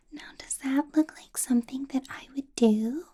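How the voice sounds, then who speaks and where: A woman voicing a doting, possessive vampire boss in a soft, breathy ASMR whisper, intimate and coaxing with quiet menace. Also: high-pitched, exaggerated voice. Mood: amused, quietly menacing.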